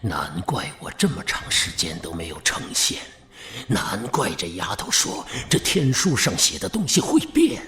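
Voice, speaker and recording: A man playing a sinister old Daoist master in an audio drama, drawling, mocking and cruel, with a gravelly voice. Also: fairly low pitch, huge pitch swings, moderate pace, sharp tone, heavily acted. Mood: suspicious. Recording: echoey reverberant cave effect, music behind.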